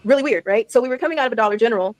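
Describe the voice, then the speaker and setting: A woman's voice: fairly high pitch, rapid-fire, no echo. A woman casually telling a story on a stream, chatty, laughing often and acting out the dialogue.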